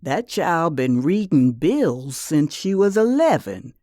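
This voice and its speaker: A woman's voice: low-pitched, sing-song, mellow, no echo, clean studio sound, exaggerated voice. A woman playing a stern, knowing older mother in an audio drama, speaking plainly and firmly. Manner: measured, plainspoken, firm.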